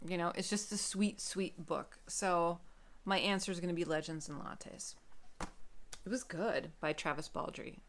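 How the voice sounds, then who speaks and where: A woman book vlogger chatting casually to camera in an expressive, emphatic way. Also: fairly low pitch, measured pace, slight background noise.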